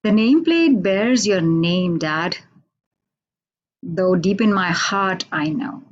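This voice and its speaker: Slow Indian-accented woman reading poetry. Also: mid-range voice, expressive, dull audio. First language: Hindi. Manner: unhurried, halting, with many fillers. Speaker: poet. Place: radio station office.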